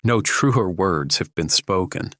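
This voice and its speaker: An actor playing a protective, loyal husband and friend in an audio drama, speaking with earnest concern. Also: male voice, deep voice, expressive, takes it slowly, sharp tone, no echo, clean studio sound, full character voice.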